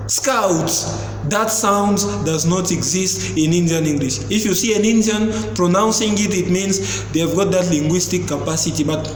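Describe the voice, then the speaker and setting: Male teacher, high-pitched, patient drills of each sound, recorded in a reverberant room. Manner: explains slowly, demonstrating sounds repeatedly. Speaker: English teacher. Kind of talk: pronunciation lesson.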